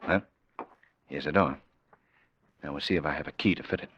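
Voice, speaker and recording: An actor playing a confident, wry amateur sleuth who talks smoothly and sardonically in an old-time radio drama. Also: male voice, very deep voice, fairly quick, a bit bright, slight background noise. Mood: hushed. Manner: smooth-talking, sardonic, assured. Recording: dull old radio recording.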